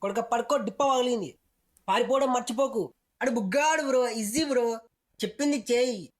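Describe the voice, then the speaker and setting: A woman's voice: on the high side, normal speed. A woman with a Telugu accent delivering short punchy game voice-pack callouts in a slangy, bossy, teasing way.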